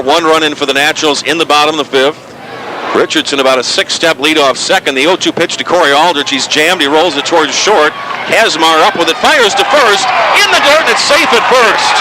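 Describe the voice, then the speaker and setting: A man calling baseball live on the radio as play-by-play announcer, describing each play and lifting his delivery with the action. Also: a little high. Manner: excited lifts on action, descriptive recaps between plays. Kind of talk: live sports commentary. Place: ballpark broadcast booth. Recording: live ballpark crowd noise behind.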